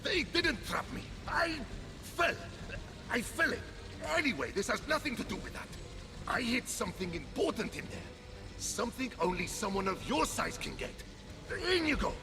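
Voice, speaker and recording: A man's voice: high voice, very animated, moderate pace. A man playing a vain, blustering, touchy war god in a video game, theatrical and easily flustered. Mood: flustered, defensive. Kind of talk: video game cutscene voice acting. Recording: music behind the voice.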